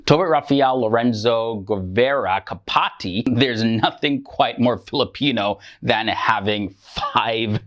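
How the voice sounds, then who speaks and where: A man's voice: mid-range voice, a little slow, hardly any pauses, fairly bright tone, lo-fi sound. A man reading an upbeat scripted countdown of celebrities, with promotional asides. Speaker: list video narrator.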